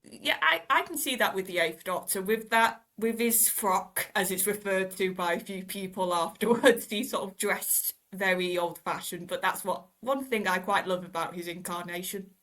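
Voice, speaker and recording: A woman's voice: medium pitch, normal speed, hardly any pauses, fairly bright tone. A woman chatting casually as a panellist in a fan discussion podcast.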